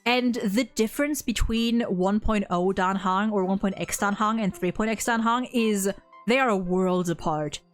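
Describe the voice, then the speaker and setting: A woman's voice: medium pitch, moderate pace. Enthusiastic woman chatting casually over music. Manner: enthusiastic, effusive. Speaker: video host. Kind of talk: casual chat, game story discussion.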